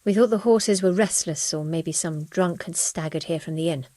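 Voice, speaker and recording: Casting: bereaved villager woman played by an actress, game drama, frank and subdued, dark warm tone, dry recording. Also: lowish voice, moderate pace, dry recording, lightly acted. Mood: shaken, sorrowful. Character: shaken, bereaved medieval village widow, frank and weary. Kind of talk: dramatic video game acting.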